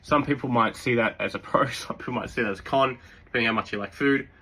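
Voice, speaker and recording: A man with a sore throat giving relaxed, rambling fitness advice, full of fillers and restarts. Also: medium pitch, quick pace. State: sore throat, occasional coughs. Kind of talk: casual advice talk.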